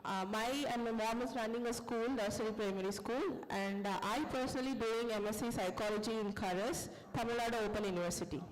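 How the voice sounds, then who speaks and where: A woman's voice: fairly high pitch, fairly even intonation, a little fast, hardly any pauses. A woman student with a South Indian accent, introducing herself to a group. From Trichy, south India. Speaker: psychology student. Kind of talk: spoken self-introduction. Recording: echoey hall, faint noise.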